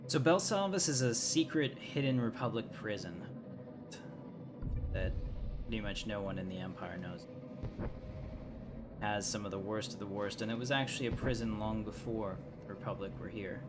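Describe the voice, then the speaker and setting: A man's voice: lowish voice, normal speed, long pauses. A man giving casual, informal gameplay commentary as a streamer, thinking aloud as he plays. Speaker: gaming streamer, channel host. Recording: loud music behind the voice.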